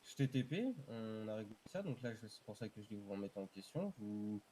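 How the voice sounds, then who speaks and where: Mellow, hesitant male game admin. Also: fairly low pitch, lively intonation, quick pace, slight background noise, rough recording. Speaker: roleplay server admin mediating a dispute. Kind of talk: casual mediation in game voice chat.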